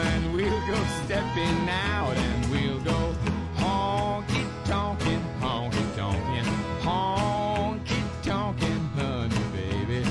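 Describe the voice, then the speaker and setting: A woman's voice: mid-range voice, slightly rough, no room sound. A woman singing honky-tonk country as a vocalist. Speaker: singer.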